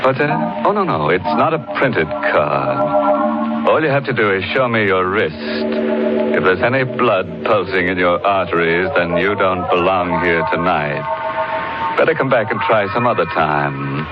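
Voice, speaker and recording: Deep ghoulish radio horror host. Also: male voice, lively intonation, a little slow. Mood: mock-sinister, playful. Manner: theatrical, mock-sinister, macabre humor. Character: ghoulish, jesting male host of a horror club. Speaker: mystery show host. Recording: muffled old radio broadcast, loud organ music.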